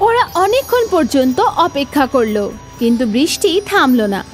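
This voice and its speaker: A woman's voice: sits high, sing-song, moderate pace, faint music behind. A woman narrating a scripted children's story as the storyteller.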